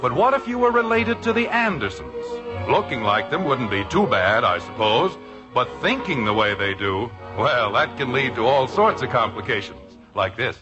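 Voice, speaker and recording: A man's voice: medium pitch, big pitch swings, moderate pace. A man announcing and narrating a 1950s radio sitcom in a folksy, wry manner, on a dull old recording with music.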